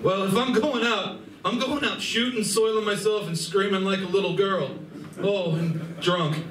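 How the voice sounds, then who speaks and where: A man's voice: sits high, fairly even intonation, moderate pace, a crowd in the background, natural voice. A man acting a jittery, wisecracking animal gunslinger with a sarcastic, exasperated delivery in a live radio play. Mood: panicked. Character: jittery wisecracking male furry gunslinger with a drinking habit. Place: live stage reading at a convention. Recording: distant mic, echoey noisy convention room.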